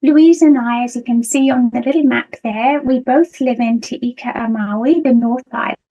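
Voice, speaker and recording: A woman's voice: on the high side, moderate pace, warm tone, muffled recording. A middle-aged woman from the United Kingdom with a British accent, giving a conference talk as a nursing lecturer over a video call, hesitant with many ums. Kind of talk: conference presentation.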